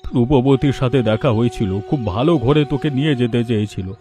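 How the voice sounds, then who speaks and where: A man's voice: medium pitch, quick pace, warm tone, close to an everyday voice. A man playing an anxious, self-pitying widowed father in a melodramatic cartoon, with a plaintive, lamenting manner. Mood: anguished, lamenting. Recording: music behind the voice.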